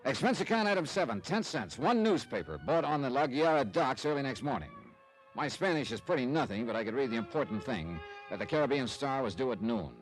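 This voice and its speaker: A man's voice: medium pitch, big pitch swings, fairly quick, lightly acted, rough recording. An actor playing a wry, sardonic investigator who narrates his case in a clipped old-time radio detective style. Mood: wry narration. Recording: vintage radio recording with music.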